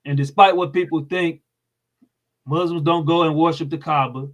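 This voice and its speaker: A man's voice: a little high, steady tone, normal speed. An American man speaking as a guest in an interview, explaining things in a plain-spoken, informal way. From United States.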